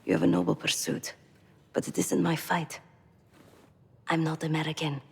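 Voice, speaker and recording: A self-possessed female mercenary in a game cutscene. Her voice is on the low side with a fry in it. She is dry and wry, heard in a long reverb over faint music. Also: level tone, normal speed, darkish tone, heavily acted. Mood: dismissive, guarded. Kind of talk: video game cutscene acting.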